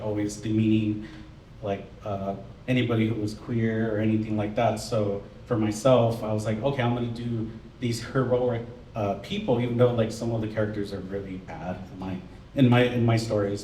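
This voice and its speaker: A man's voice: fairly low pitch, dark tone, a little hiss or hum. A man speaking casually as an artist on a gallery panel, rambling with many fillers. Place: art gallery. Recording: echoey gallery, mic far off.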